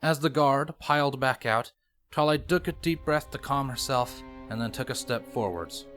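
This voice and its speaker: A man with a middle-pitched voice reads a story as its narrator. The delivery is scripted storytelling, with music playing softly behind his voice. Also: moderate pace. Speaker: narrator reading fan fiction.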